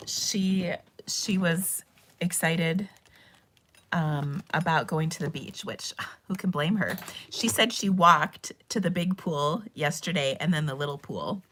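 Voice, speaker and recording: A woman hosting a casual live craft stream, chatty and bubbly, her voice a little hoarse because she is losing it. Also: fairly low pitch, fairly bright tone. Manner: chatty and bubbly, with frequent ums and laughs. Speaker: host of a live stamping and cardmaking stream.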